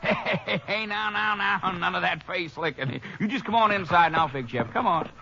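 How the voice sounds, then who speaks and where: Folksy diner owner sweet-talking a stray dog, high and bouncy, old muffled radio sound. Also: male voice, moderate pace, vocal fry, noisy background. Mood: kindly. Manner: folksy rural drawl, coaxing and kindly. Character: friendly roadside cafe owner coaxing a stray dog. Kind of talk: 1950s radio drama acting.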